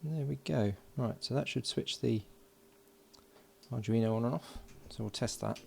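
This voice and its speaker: A man giving relaxed, matter-of-fact running commentary as he solders at a home workbench, recorded by an overhead camera. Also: mid-range voice, normal speed, creaky, slight background noise. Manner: mutters along while working, relaxed and matter-of-fact. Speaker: electronics hobbyist. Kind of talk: casual build commentary.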